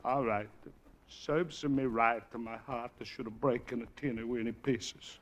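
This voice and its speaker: A man's voice: medium pitch, fairly even intonation, unhurried, lightly acted. An actor playing a scheming, dramatic older Italian immigrant man in broken English, in the style of 1940s radio comedy. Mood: pleading. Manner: theatrical, pleading, broken English.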